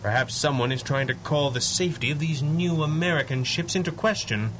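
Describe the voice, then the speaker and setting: An actor playing a confident 1930s masked superhero, brisk, deductive and dryly witty, in radio adventure style. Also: male voice, a little high, fairly bright tone, slight background noise, lightly acted. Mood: urgent, reasoning. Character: confident masked male superhero, 1930s pulp mystery man.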